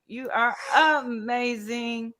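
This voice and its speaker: A woman's voice: a little high, extremely slow, pushed and bright. A woman in her fifties hosting a casual livestream, chatty and greeting viewers by name with frequent laughs. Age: 50s. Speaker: crochet channel host.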